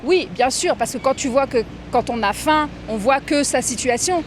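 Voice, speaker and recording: A woman's voice: sits high, lively intonation, normal speed. A woman with a French accent explaining things conversationally in a casual interview, repeating phrases for emphasis. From born in Tunisia, grew up in France from age five. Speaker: interviewee, director of a diaspora federation. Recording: outdoor street recording with background noise.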